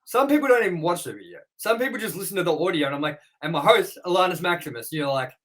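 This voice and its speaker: A young man hosts a casual review podcast with crude jokes and a steady stream of swearing. His voice sits a little on the high side. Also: fast. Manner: crude, joking banter with frequent swearing. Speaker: podcast host.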